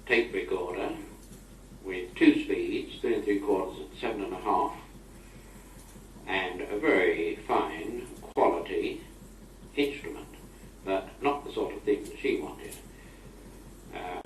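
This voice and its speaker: A middle-aged or older British man speaking in a chatty, wry, old-fashioned way, as if in an informal spoken letter to a friend. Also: fairly low pitch. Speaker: amateur correspondent on a taped letter. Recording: old amateur tape, roomy, distant, noisy.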